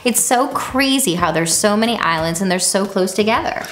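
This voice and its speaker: A woman in her thirties co-hosting a travel show, chatting in an animated, enthusiastic way on a studio set. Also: mid-range voice, normal speed, a little hiss or hum. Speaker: co-host. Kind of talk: casual scripted chat. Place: indoor studio set with sofa.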